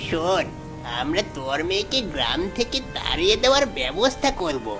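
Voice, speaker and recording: A woman's voice: fairly low pitch, big pitch swings, normal speed, music in the background. A woman playing an uneasy, emphatic villager in a cartoon fairy tale. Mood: resolved, accusing. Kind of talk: animated fairy tale character acting.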